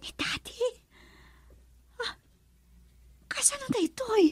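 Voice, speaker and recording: High-voiced, earnest young daughter. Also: female voice, lively intonation, slow, noisy background, heavily acted. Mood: stunned. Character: young woman, wounded daughter seeking her father, earnest. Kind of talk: Ilocano radio drama acting.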